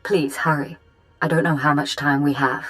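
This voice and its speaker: Polite, worried female aristocrat, low register, formal and deferential game dialogue, light music behind. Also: steady tone, moderate pace, fairly bright tone. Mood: worried pleading. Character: polite, worried noblewoman pleading for help. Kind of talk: dramatic video game acting.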